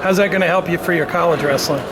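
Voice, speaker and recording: A man's voice: on the high side, quick pace. A man with an American accent interviewing an athlete casually, with chatty, informal, rambling questions. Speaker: sports interviewer. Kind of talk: casual post-match interview. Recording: noisy venue, clear background noise. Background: ambient venue noise.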